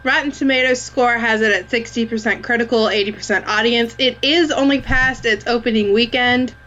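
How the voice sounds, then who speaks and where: A woman's voice: fairly high pitch, steady tone, fairly quick, bright, forceful tone, lo-fi sound. A woman co-hosting a movie podcast, stuffed up from a sinus infection, chatting casually with lots of fillers and laughs. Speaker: podcast co-host. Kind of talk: casual chat.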